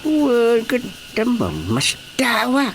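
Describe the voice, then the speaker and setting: A man's voice: very high-pitched, huge pitch swings, slow pace, a little hiss or hum, full character voice. An actor playing a good-humored elderly fisherman father in an Ilocano radio drama. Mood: cheerful.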